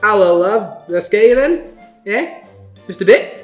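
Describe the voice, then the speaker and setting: A cheeky, gap-toothed rogue of a fairy man, played by a woman. The delivery is chirpy and colloquial, swinging about in pitch, in a slightly roomy recording with music behind. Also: lively intonation, a little slow, natural voice.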